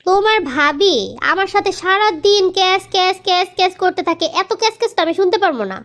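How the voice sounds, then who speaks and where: Woman acting a quarrelsome young wife, cartoon comedy, very high voice, rapid, repetitive nagging, Bangladeshi Bengali accent. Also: fairly bright tone. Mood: defiant, irritated. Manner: complaining, repeats words. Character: quarrelsome, stubborn young wife and sister-in-law. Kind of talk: cartoon family comedy drama.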